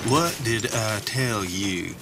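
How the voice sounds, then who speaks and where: A drawling Southern American cowboy goon. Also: male voice, on the low side, animated, slow, vocal fry, heavy background noise, full character voice. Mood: excited. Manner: drawling, folksy. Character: dim, cocky American cowboy henchman. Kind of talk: video game cutscene acting. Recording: game soundtrack with loud music behind.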